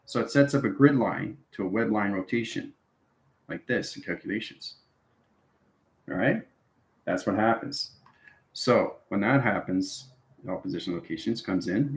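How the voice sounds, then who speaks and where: A man giving an improvised esoteric lecture to viewers, instructing repetitively and often checking in with short tags like okay and right. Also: deep voice. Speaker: spiritual channel host giving instruction. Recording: roomy, echoing sound.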